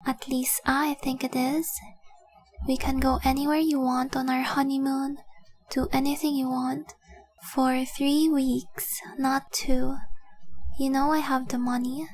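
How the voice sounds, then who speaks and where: A woman voicing a tender, possessive young dragon girl in love, speaking softly and breathily in an intimate roleplay audio drama. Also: high voice, little pitch movement, a little slow, low-quality recording. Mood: playful, bargaining. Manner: soft, breathy, lingering, adoring delivery.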